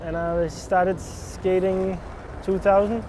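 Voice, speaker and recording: A young man with a Danish accent, giving short, halting answers in a casual skateboarding interview. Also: high-pitched, flat delivery. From Copenhagen, Denmark. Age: young adult. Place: outdoors in the city. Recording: loud outdoor traffic noise. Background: loud traffic and city ambience.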